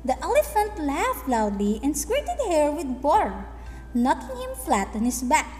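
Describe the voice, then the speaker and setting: A woman teacher explaining a lesson and reading a story in a deliberate, instructive manner. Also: high voice, wildly swooping pitch, a little mellow. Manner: deliberate, instructive, with check-in questions. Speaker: English teacher. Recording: echoey hall-like room, faint music behind.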